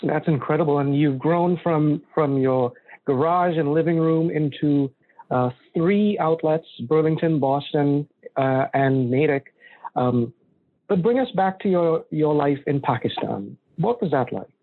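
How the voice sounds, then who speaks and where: A male interviewer with a slightly high-pitched voice talks conversationally as a podcast host. The sound is narrow and telephone-like, with very little room echo. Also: measured pace. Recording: thin, phone-like line.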